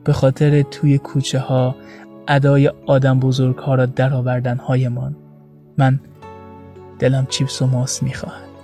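A man's voice: medium pitch, monotone, warm tone. A man reading scripted, intimate prose expressively, with a tender and wistful delivery. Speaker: podcast narrator. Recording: roomy echo, faint music behind.

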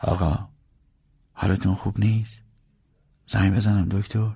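A man's voice: fairly low pitch, level tone, vocal fry, warm tone, slightly rough, full character voice. Man acting a servile, pleading driver. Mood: concerned. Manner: deferential, pleading. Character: servile, anxious male driver pleading with his master. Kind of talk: dramatized audiobook dialogue.

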